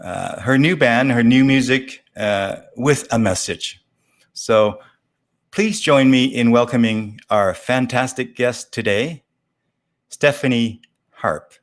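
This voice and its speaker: A man hosting an interview show, speaking in a halting, measured way as he addresses his guest. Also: medium pitch. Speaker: talk show host.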